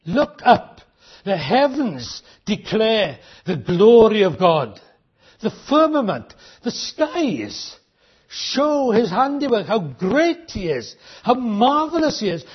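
A man delivers a sermon in a husky, fairly high voice, pressing his listeners with rhetorical questions. He slows right down on the key phrases to drive them home. The recording sounds dull and lo-fi. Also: very animated. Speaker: preacher.

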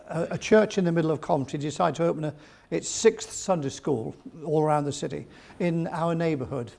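Older man, gravelly voice, fairly high pitch; rambling, chuckling interview guest. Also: darkish tone. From England. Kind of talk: storytelling interview.

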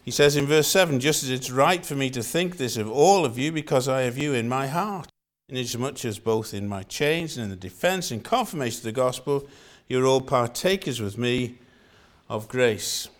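A man's voice: medium pitch, moderate pace. An older man from Wales with a Welsh accent, preaching a sermon in an earnest style and repeating phrases for emphasis.

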